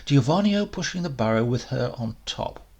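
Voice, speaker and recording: Expressive male storyteller with a gravelly edge. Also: mid-range voice, normal speed, lo-fi sound. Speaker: story reader. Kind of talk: expressive literary story narration.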